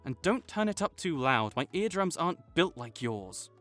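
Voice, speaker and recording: A bristly, sarcastic academic rival, voiced by a young man bickers with the listener in a slightly raised, lively voice. The sound is dry and close, with faint music underneath. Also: a little high, normal speed. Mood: bickering. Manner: teasing, defensive, sarcastic. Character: prickly young male academic rival, grudgingly kind. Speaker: voice actor in audio roleplay. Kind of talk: audio roleplay acting to a silent listener.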